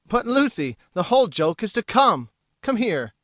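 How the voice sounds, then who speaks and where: A woman reading an audiobook, voicing a smug, teasing young gentleman who talks in an arch, lofty way. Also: mid-range voice, big pitch swings, normal speed, dry recording, studio quality. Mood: amused. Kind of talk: audiobook character voice.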